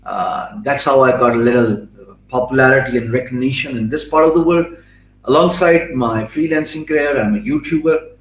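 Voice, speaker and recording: A man's voice: medium pitch, roomy sound, slight background noise, poor audio. A middle-aged man from Pakistan with a Pakistani accent, speaking English as a second language after Urdu, answering interview questions fluently but with many fillers and restarts. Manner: fluent but filler-heavy, with frequent restarts and emphatic pauses. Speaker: guest.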